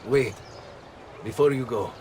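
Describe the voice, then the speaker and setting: A man's voice: medium pitch, a little slow, noisy background, lightly acted. An actor playing a dignified, courteous Florentine nobleman with an Italian accent in a game cutscene. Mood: measured, gracious. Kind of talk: dramatic game cutscene acting.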